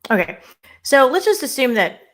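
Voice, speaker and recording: A woman co-hosting a live immigration Q&A stream, chatting and giving quick practical tips. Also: high voice, very animated. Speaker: immigration lawyer co-host.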